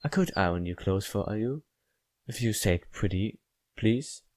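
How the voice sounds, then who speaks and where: A man voicing a friendly, cheerful young female coworker in a visual novel, chirpy and gently teasing. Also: fairly low pitch, lively intonation, a little slow.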